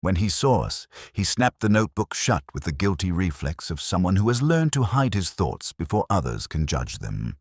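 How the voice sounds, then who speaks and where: Very deep male mystery narrator. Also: sing-song, no room sound, clean studio sound. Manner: measured, literary, brooding. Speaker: narrator of a detective story. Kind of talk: first-person scripted mystery narration.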